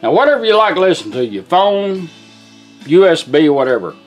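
A man with a somewhat high-pitched voice gives a casual, hands-on demonstration. He speaks plainly and folksily in short instructions, as guitar music plays in the background indoors. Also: very animated, a little fast. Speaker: presenter demonstrating a custom car radio. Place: indoors at a workbench. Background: guitar music from the radio being demonstrated.

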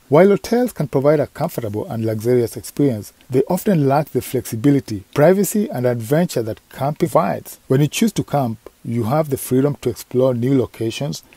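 Husky, mellow man narrating travel. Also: mid-range voice, no room sound. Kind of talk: scripted voice-over narration. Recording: dry voice-over over music.

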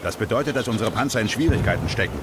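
An actor playing a seasoned, dry-humoured army sergeant, terse and commanding, in a video game. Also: male voice, lowish voice, lively intonation, very fast, close to an everyday voice. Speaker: squad sergeant. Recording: loud battlefield noise, helicopters and vehicles behind.

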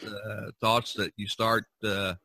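A man in his early sixties hosting a casual, rambling podcast chat, halting and often repeating words. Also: lowish voice, level tone, sharp tone, dry recording. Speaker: podcast host.

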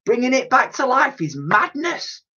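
A man's voice: extremely high pitch, sing-song, unhurried, pushed and bright, muffled recording, voice pushed up, heavily acted. A man voicing a blunt, touchy working-class woman in a children's storybook reading. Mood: outraged. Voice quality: brash.

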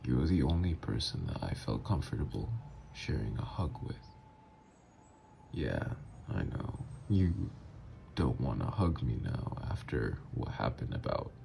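Low slow creaky voice, guy doing a teasing psycho villain ex who keeps calling you babe. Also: very animated, warm tone, slight background noise, exaggerated voice. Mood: tender. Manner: teasing, calls listener babe. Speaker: roleplay voice actor. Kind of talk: ASMR roleplay audio.

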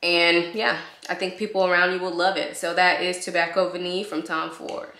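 A woman in her thirties, a vlogger talking to camera at home in a chatty, enthusiastic way, still slightly hoarse from a cold. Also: fairly low pitch, little pitch movement, brisk, sharp tone, reverberant. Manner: chatty, enthusiastic, repeats praise. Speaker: beauty vlogger. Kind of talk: casual review to camera. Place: living room at home. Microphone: camera in front, waist-up framing.

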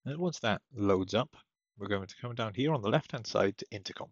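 A man with a low, husky voice talks through software steps casually, as a technical support presenter. The sound is dull and slightly lo-fi, recorded at a computer. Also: animated, brisk, no room sound. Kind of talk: casual step-by-step software tutorial narration. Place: indoors at a computer, screen-recording voice-over. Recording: dull lo-fi close dry microphone.

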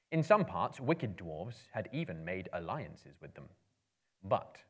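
Low-pitched male dramatic storyteller. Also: slightly rough. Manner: theatrical, measured, emphatic phrasing. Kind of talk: dramatic book reading to a live audience. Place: room lined with books, indoors.

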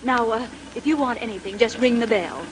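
An actress playing a polite, efficient airline stewardess in 1930s radio style. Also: female voice, fairly high pitch, brisk, natural voice. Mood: courteous. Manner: courteous, businesslike. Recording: noisy old radio transcription.

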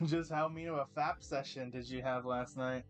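A man's voice: medium pitch, steady tone, normal speed, faint music behind, close to an everyday voice. A man voicing a teasing, brash older male college buddy in a visual novel. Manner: playful, ribbing, brash.

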